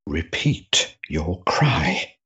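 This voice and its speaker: A man playing an earnest, pious clergyman in a period drama, with a grave, imploring manner and a husky voice. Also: deep voice, sing-song, drawn-out words, sharp tone, dull audio, exaggerated voice. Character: earnest, pious, solemn clergyman pleading for a soul. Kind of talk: period audio drama acting.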